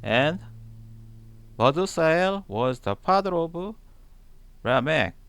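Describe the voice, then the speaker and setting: A man reading scripture aloud in a halting way, phrase by phrase, with long pauses. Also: a little high, big pitch swings, fairly bright tone, smooth. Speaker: Bible reader, channel host. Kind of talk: slow read-aloud of scripture.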